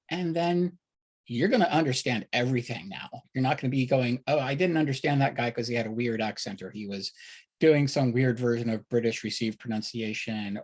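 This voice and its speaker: A man's voice: mid-range voice, a little mellow. A man hosting a casual panel conversation, talking informally and acting out reactions as he explains. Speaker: discussion host.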